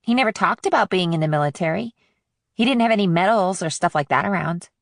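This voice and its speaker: A woman's voice: lowish voice, expressive, quick pace, creaky, dry recording. Give me a woman voicing an inquisitive, blunt fourteen-year-old girl for a thriller audiobook, dry and close. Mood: guarded. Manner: short, probing replies. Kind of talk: audiobook character dialogue.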